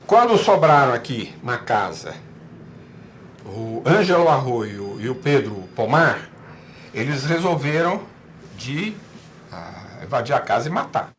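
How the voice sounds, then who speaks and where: Animated Brazilian man on a noisy recording. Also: medium pitch, unhurried. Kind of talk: unscripted spoken account. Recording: noisy location recording with ambience.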